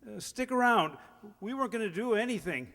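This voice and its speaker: A man acts a bashful young suitor in an old-time radio comedy, bumbling and eager to please. His high voice stammers politely, with a long hall reverb around it. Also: lively intonation, brisk, fairly bright tone. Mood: nervous, obliging. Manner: stammering, deferential.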